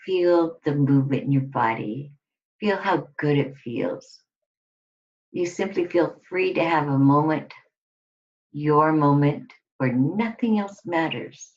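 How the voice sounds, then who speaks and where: A woman giving a scripted guided relaxation exercise, instructing deliberately in short phrases with pauses between them. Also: low voice, a little mellow. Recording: dull lo-fi recording.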